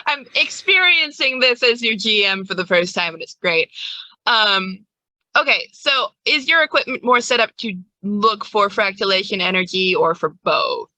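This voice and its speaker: Female game master, casual and giggly, bright forceful voice, dry close mic. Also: mid-range voice, animated, normal speed, no room sound, rough recording. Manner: giggly, informal. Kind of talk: casual tabletop game narration.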